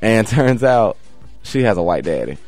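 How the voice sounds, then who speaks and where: A very deep-voiced man hosts a talk show and tells a story with lively rises for emphasis. Soft guitar music plays faintly in the background. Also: moderate pace, no room sound. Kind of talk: interview and anecdote.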